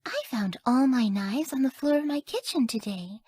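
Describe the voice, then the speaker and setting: A woman voicing a sweet, sleepy, scatterbrained young woman in a video game, with a soft, airy, naive delivery. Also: high-pitched, animated, moderate pace, dry recording. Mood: puzzled, uneasy. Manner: soft, airy, gentle, often dropping to breathy near-whispers. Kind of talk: video game character voice lines.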